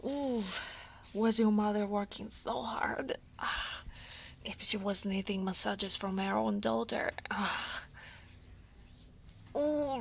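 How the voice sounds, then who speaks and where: A woman with an Italian accent playing a guarded, workaholic dragon woman who is a senior coworker, in a soft, often whispered ASMR roleplay, wry and self-deprecating with sighs and soft laughs. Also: medium pitch, gravelly, slight background noise. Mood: relaxed. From Italy.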